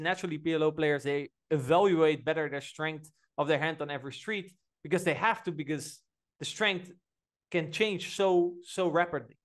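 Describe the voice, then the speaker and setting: Man, high voice, fast talker, podcast host, chatty, storytelling. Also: a bit bright. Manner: chatty, storytelling, many restarts. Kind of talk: casual interview chat.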